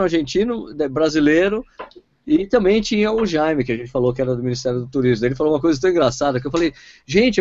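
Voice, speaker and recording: Male co-host, chatty joke-teller, slightly high voice, dull recording. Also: a little mellow, no room sound. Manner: joking, laughing storyteller. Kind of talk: casual chat. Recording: dull lo-fi sound.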